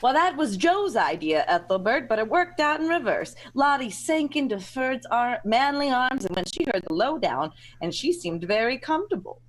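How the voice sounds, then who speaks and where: Woman reading as a higher-voiced radio-drama gal. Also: very animated, normal speed, few pauses, low-quality recording. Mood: explanatory. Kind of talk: amateur 1940s radio play reading.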